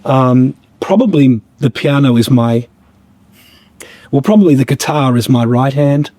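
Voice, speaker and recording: Slightly croaky man, halting. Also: medium pitch, mellow, dry recording. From Australia. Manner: halting, many fillers and restarts. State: a bit croaky. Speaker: guest musician. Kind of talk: reflective podcast conversation.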